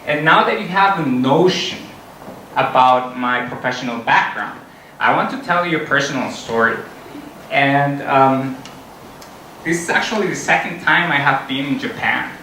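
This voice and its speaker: A man's voice: medium pitch, normal speed, bright, forceful tone, slight background noise. Earnest man speaking in an echoey hall. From Costa Rica. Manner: earnest, with frequent um fillers. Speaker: conference speaker. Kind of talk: conference talk. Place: large conference hall. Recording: echoey hall from far off, music.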